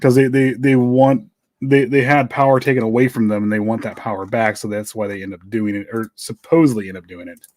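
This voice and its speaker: A man's voice: medium pitch, level tone, fairly quick, a little mellow, dry recording, clean studio sound. A man with an American accent co-hosting a true-crime podcast in a casual, jokey, informal way. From United States. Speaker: podcast co-host.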